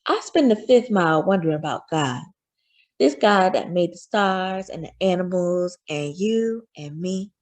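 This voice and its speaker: A woman voicing a loving, patient mother who gently reassures her young son in a children's storybook read-aloud. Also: on the low side, lively intonation, unhurried. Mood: hushed, wistful.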